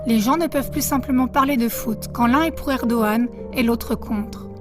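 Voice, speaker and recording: A woman journalist giving a calm, reflective statement, read as a voice-over. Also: fairly high pitch, moderate pace, music in the background.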